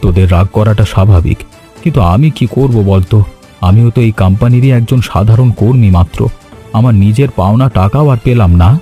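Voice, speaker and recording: Man acting a gloomy, dark-voiced office senior. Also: fairly low pitch, a little fast, soft background music, lightly acted. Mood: resigned. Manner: solemn, resigned. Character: gloomy, apologetic senior male office employee. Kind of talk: animated story dialogue.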